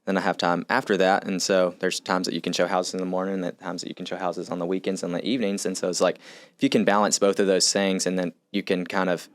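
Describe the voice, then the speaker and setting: A man's voice: low-pitched, little pitch movement, fast, few pauses. A young man, a podcast guest, telling his story loosely and laughing between sentences. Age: young adult.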